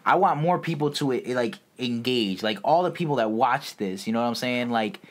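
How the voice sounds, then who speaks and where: A man's voice: mid-range voice, lively intonation, moderate pace. A man co-hosting an entertainment podcast, chatting informally with slang and some swearing. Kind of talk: casual slangy chat, reacting to co-host.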